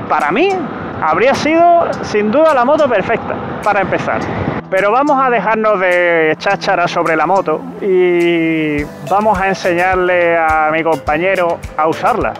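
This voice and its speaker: A man's voice: sits high, low-quality recording. A man reviewing a motorcycle while riding it through city streets, talking casually and joking at his own expense, recorded on a helmet camera mic. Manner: chatty, joking, laughs at himself. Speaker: motovlogger.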